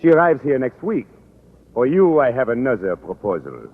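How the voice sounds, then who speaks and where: A man's voice: medium pitch, big pitch swings, normal speed, breathy edge. An actor playing an amorous, earnest middle-aged Frenchman with a French accent and broken English, in radio sitcom style. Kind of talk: 1950s radio sitcom acting. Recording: tinny hissy old radio broadcast.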